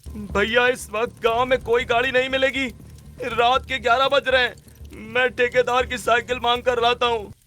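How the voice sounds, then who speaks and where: A man's voice: very high voice, little pitch movement, normal speed, sharp tone. A man playing an earnest, worried young labourer son in a story drama, speaking in a pleading way. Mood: urgent.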